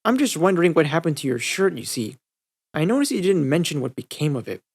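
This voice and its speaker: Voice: mid-range voice, big pitch swings, fast, vocal fry, breathy edge, full character voice. A reader voicing a refined, fussy but generous young lady, dramatic and pained, in a cartoon fan story.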